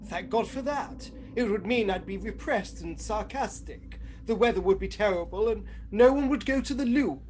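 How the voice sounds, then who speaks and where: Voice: medium pitch, lively intonation, moderate pace, few pauses, a little mellow, a little hiss or hum, natural voice. A performer playing an earnest, bumbling detective's sidekick in a comedy radio play, with dry, hesitant asides. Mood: dry, wry.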